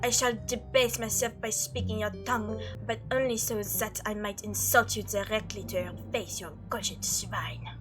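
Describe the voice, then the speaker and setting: A woman's voice: mid-range voice, steady tone, normal speed, hardly any pauses, over music, close to an everyday voice. Woman voicing a snooty, disdainful game cat. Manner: disdainful, pompous. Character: snooty, insulting French female house cat.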